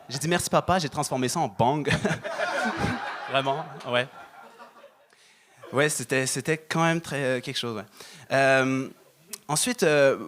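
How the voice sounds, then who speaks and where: A young man doing stand-up on a club stage, deadpan, stalling with fillers. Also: mid-range voice. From Moroccan family. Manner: deadpan, halting with many fillers. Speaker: stand-up comedian. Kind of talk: stand-up set.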